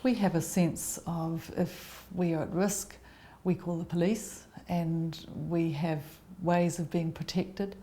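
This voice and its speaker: A woman speaking as a services manager in an interview, explaining in a measured, articulate way. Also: fairly low pitch, fairly even intonation, dark tone, slight background noise.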